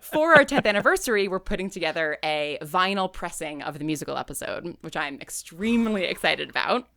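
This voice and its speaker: Woman chatting reflectively, fairly low voice, dry sound. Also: very animated, vocal fry, bright, forceful tone, no room sound. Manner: effusive, halting, frequent emphatic repetition. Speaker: interview guest, audio fiction writer and director. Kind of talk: casual, reflective podcast interview.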